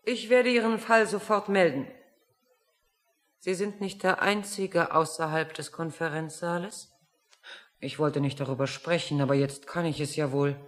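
A woman's voice: deep voice, measured pace, long reverb tail. An actress playing a composed, officious woman in a radio play, matter-of-fact and faintly sardonic. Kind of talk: German radio play acting.

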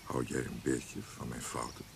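An actor playing a roguish, self-doubting poet in a radio play, playful and smitten, with a hesitant way of speaking. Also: male voice, very deep voice, completely flat delivery, normal speed, creaky, exaggerated voice. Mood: tender, reflective. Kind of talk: Dutch radio play acting. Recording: noisy old radio play recording.

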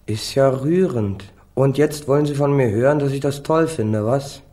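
A man's voice: mid-range voice, moderate pace, mellow, natural voice. A man acting a defiant, street-tough young small-time crook in a German radio crime drama, flippant and slangy. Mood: sarcastic. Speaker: actor playing a defendant.

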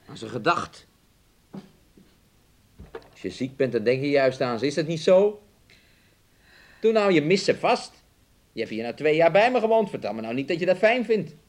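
A man's voice: a little high, sing-song, moderate pace, pauses often. An actor in a Dutch radio drama playing a volatile, taunting working-class man who swings between scorn and coaxing. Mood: taunting, possessive.

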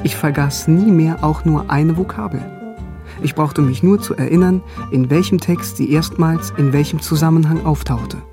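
A man's voice: on the high side, moderate pace, close to an everyday voice. An actor playing a bookish young East German man, narrating and speaking in a radio drama with dry, precise irony. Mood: wry. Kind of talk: first-person radio drama narration and dialogue. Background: music.

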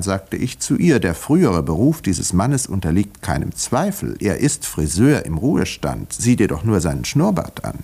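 A wry village doctor in a vintage radio play. His voice is on the low side and moves in very lively swings. He sounds urbane, measured and dryly ironic. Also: creaky, slightly rough, heavily acted. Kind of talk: 1950s German radio drama, narration and dialogue.

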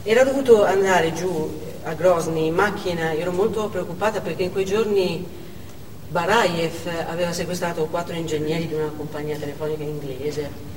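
A woman's voice: on the low side, normal speed, recorded from a distance, lightly acted. An actress playing an anxious Russian wife in a stage monologue, telling her story with explanatory asides. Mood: worried. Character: anxious Russian wife recounting her husband's dangerous trip. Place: theatre stage, distant microphone. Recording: noisy, echoey theatre hall from afar.

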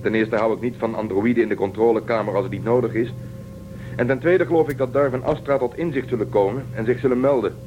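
A man's voice: medium pitch, fast talker, natural voice. Man voicing a stubborn starship commander, firm and commanding, dull muffled sound, music behind.

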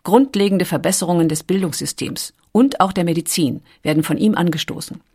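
A woman reading scripted documentary narration with a measured, emphatic storytelling delivery. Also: mid-range voice, darkish tone.

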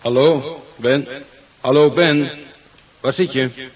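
A man's voice: mid-range voice, steady tone, slow pace, lightly acted. Man acting an easygoing radio drama researcher. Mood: mildly concerned. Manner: conversational. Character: easygoing, practical male researcher, fondly musing. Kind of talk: 1970s Dutch science fiction radio drama acting. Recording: dull 1970s radio recording with hiss.

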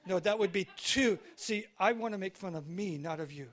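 Man, American accent, high-pitched voice, preaching in short phrases, pauses for interpreter, restarts often. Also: a bit bright. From California. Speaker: guest preacher. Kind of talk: sermon delivered through an interpreter.

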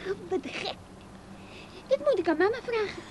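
An actress playing a curious, naive small child in a radio play, persistently asking questions. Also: female voice, very high-pitched, lively intonation, normal speed. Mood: curious, insistent. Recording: old radio recording with faint noise.

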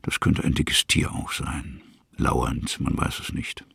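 An actor narrating a literary radio drama as a musing male storyteller, in measured, fragmentary phrases with a gravelly, husky voice. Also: rumbling low, fairly even intonation, a bit bright, dry recording, studio quality, full character voice.

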